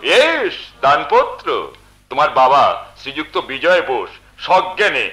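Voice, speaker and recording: Scheming, swaggering high-voiced villain. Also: male voice, big pitch swings, bright, forceful tone, roomy sound, rough recording. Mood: sly, assured. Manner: sprinkles English words, drawn-out theatrical delivery. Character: smooth-talking scheming villain, confident and menacing. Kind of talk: Bengali folk opera stage acting.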